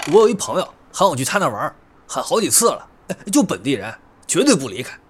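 An actor playing a blunt, grumbling young idol singer in an audio drama, talking colloquially. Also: male voice, a little high, very animated, fairly quick. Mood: casual. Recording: long reverb, music behind.

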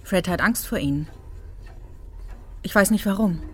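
An actress playing a worried, polite but direct woman in a radio crime drama, with a husky, hesitant delivery. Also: mid-range voice, a little slow. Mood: worried, frank.

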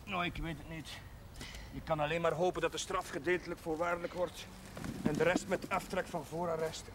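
An actor playing a genial, slightly naive village priest in a television drama, speaking in a wry, conversational way. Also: male voice, fairly high pitch, level tone, a little fast, lightly acted. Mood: concerned. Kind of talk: naturalistic television drama acting. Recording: faintly noisy 1970s television soundtrack.

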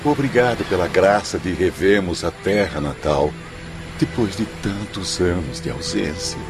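Deep, slow, devout spirit. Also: male voice, animated, music in the background. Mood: grateful, moved. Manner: slow, prayerful. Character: grateful, devout homesick spirit patient. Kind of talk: radio drama acting.

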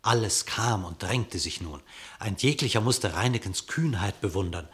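A man narrating a classical verse radio drama in an expressive, literary storytelling manner. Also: lowish voice, normal speed.

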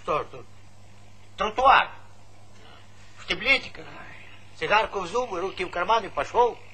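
A garrulous old countryman in a Soviet stage comedy. His voice is bright, forceful and very lively in pitch as he needles and pontificates. The old theatre recording carries faint noise. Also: moderate pace. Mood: preachy, lively. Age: older. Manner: garrulous, folksy, needling, chuckling interjections. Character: talkative, teasing old village pensioner, needling and opinionated. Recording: noisy 1970s theatre recording.

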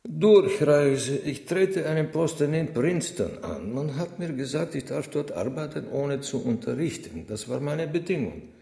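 An actor playing an earnest, naive, pedantic Viennese logician, formal and polite, in a radio drama. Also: male voice, a little high, expressive, measured pace, gravelly, dark tone, roomy sound. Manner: formal, literal-minded, polite.

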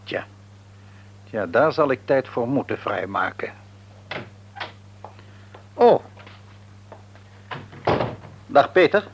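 An actor playing a busy, authoritative middle-aged father and office manager in a radio drama, brisk and businesslike. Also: mid-range voice, sing-song, dull audio, a little hiss or hum, exaggerated voice. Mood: welcoming.

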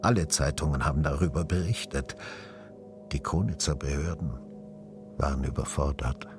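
An actor playing a grave witness who recounts events in measured short phrases in a radio drama. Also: male voice, very deep voice. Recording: music behind the voice.

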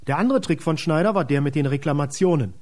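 A man's voice: on the high side, fast, darkish tone. A man giving explanatory commentary in a radio documentary.

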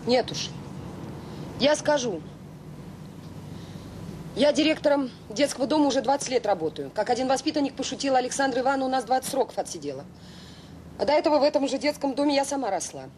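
A woman's voice: animated, fast, pauses often. A woman acting in an audio drama as a blunt, weary, middle-aged orphanage director, plainspoken and unintimidated. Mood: resolute. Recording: old audio play with faint rumble.

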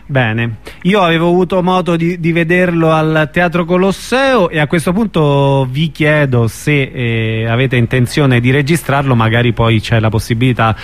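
A man hosting a radio show, chatting and interviewing in an informal, halting way. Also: a little high. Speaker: radio host. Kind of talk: casual radio chat and interview.

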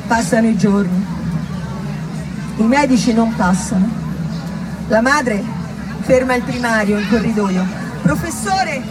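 A woman delivers a theatrical monologue in a fairly high voice. Her storytelling is dramatic and emphatic, broken into short phrases. Loud music and crowd sound run behind her. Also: unhurried, long pauses, rough recording. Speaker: monologue performer.

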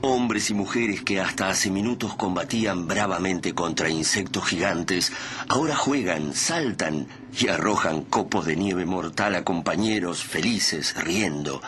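Low-voiced solemn male narrator. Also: unhurried, fairly bright tone. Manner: solemn, literary delivery. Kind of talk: dramatic scripted radio narration. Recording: clear background noise and ambience.

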